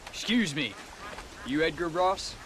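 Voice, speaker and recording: A man's voice: a little high, lively intonation, measured pace, background noise. A man playing a young American West gunslinger with a folksy drawl in a video game, outwardly courteous and bent on revenge. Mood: polite, purposeful. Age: young adult. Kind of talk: video game cutscene acting. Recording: outdoor nature sounds behind voice.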